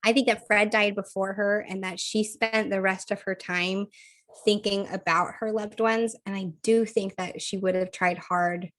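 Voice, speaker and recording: A woman co-hosting a podcast in casual chat, thinking aloud with fillers and frequent laughs, her voice a little croaky. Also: mid-range voice, level tone, moderate pace, a bit bright. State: slightly croaky voice. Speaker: podcast co-host.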